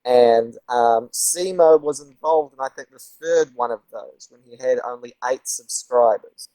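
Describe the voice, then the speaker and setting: A polite man with a light New Zealand accent, a guest in a casual online chat. He explains things in a measured, thoughtful way, and his voice sounds dry and close through a home mic on a call. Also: medium pitch, mellow, dry recording, rough recording.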